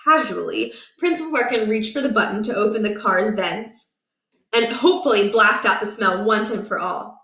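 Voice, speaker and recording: A woman reading a children's chapter book aloud in a lively, expressive way. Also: medium pitch, normal speed, reverberant, low-quality recording. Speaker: storybook reader.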